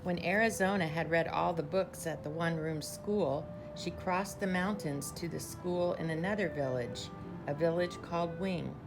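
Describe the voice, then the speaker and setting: Woman reading a kids story out loud, low creaky voice, measured teacher delivery, music playing behind her. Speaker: teacher reading a picture book aloud. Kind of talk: storybook read-aloud.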